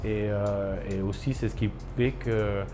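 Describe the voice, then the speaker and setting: A man's voice: lowish voice, steady tone, a little slow, over music. A man in his thirties from Ivory Coast, a chef and restaurant owner, speaking in a documentary interview with many hesitations and restarts.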